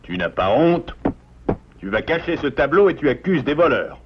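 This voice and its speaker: A man's voice: a little high, normal speed, lo-fi sound, background noise. An actor playing a stern, worried father beaver with an exasperated manner in a French cartoon dub. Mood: scolding. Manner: scolding, expressive, exasperated.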